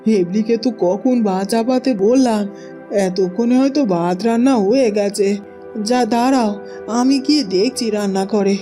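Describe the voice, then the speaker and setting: A woman's voice: fairly high pitch, moderate pace, warm tone, soft background music, lightly acted. A woman voicing an exasperated village mother in a Bengali cartoon, fussing and admonishing as she speaks. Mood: weary, ailing. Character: exasperated, scolding village mother of a grown daughter. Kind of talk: Bengali cartoon fairy-tale voice acting.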